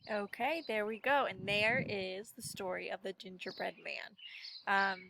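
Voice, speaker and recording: A woman teaches children in a casual, conversational way. She thinks aloud as she demonstrates, in a mid-pitched voice with faint noise behind it. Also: measured pace, creaky. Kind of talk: casual spoken lesson for children.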